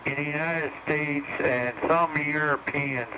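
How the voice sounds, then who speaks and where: A man's voice: fairly high pitch, fairly even intonation, measured pace. A man chatting casually as an operator over a CB radio. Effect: CB radio transmission. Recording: muffled, noisy CB radio reception.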